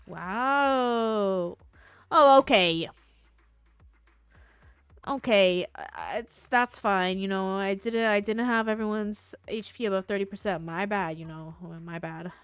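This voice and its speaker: A woman's voice: mid-range voice, slow pace, a bit bright, smooth, dry recording. A young adult woman reacting casually to a video game as a live streamer. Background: game music.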